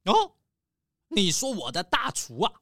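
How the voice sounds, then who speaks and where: A woman's voice: medium pitch, huge pitch swings, a little slow. A woman playing a nervous, paranoid male squirrel tycoon in a children's audio detective story, stammering and exclaiming dramatically. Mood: suspicious.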